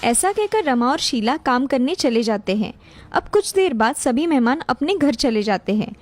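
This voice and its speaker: A woman's voice: sits high, very animated, moderate pace. A woman narrator reading a scripted cartoon family story.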